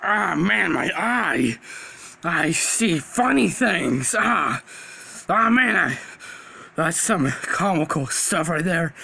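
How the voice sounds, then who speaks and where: A man voicing a manic, dimwitted cartoon creature that stammers, repeats himself and picks fights in a squeaky, creaky voice. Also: expressive, slow pace, bright, forceful tone, a little hiss or hum, heavily acted. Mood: dazed, weary.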